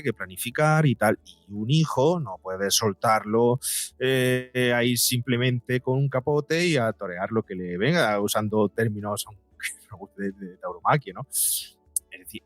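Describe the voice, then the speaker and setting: A man's voice: medium pitch, no echo. A man with an accent from Spain, speaking as a psychologist guest in a podcast interview, explaining things in an emphatic, didactic way with repetition for effect.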